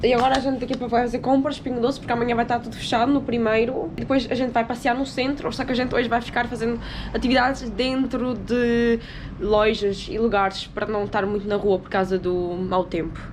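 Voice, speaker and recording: A young woman with a European Portuguese accent talks to her phone camera, chatty and rambling. Her voice sits a little high. Steady background noise runs under her talk. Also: fairly quick. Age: 20s. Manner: chatty, rambling, swears casually. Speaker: travel vlogger. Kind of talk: casual vlog chat to camera. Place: hostel room and streets, indoors and outdoors. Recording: noisy background ambience.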